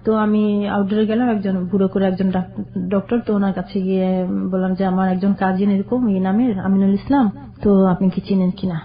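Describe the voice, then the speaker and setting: An older woman tells her own story on a radio program. She speaks plainly and sometimes pauses to collect her thoughts. The recording sounds dull and lo-fi. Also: medium pitch, flat delivery. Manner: plain, halting recollection. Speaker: caller guest. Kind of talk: personal storytelling.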